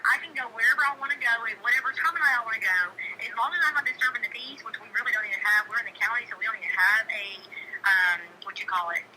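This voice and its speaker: Woman, middle-aged, high and very bright voice, emphatic and repetitive, in casual conversation on a faintly noisy phone recording. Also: fast. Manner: emphatic, insistent, repeats phrases for stress. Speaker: local neighbor caller. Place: telephone call.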